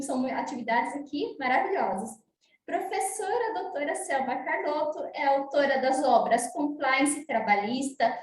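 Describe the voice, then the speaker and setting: A woman introducing a speaker at an online conference, effusive and hesitant with fillers. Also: high-pitched. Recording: echoey room, faint background noise.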